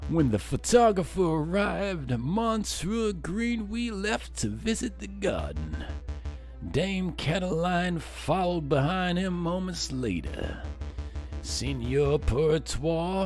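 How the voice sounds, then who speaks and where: A grand, smug old baron from a courtroom video game. He draws out his words slowly and theatrically, with a pitch that swoops widely over a music bed. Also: a little mellow. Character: pompous aristocratic older baron witness, smug and grand.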